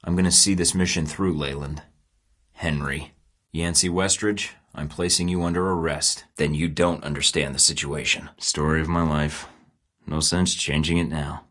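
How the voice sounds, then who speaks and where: A hardened spy from a video game, terse and sardonic, with a very deep, low voice. He talks in cold, clipped threats and dry jabs. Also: fairly bright tone. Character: hardened male spy in his thirties, defiant. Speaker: game protagonist, secret agent.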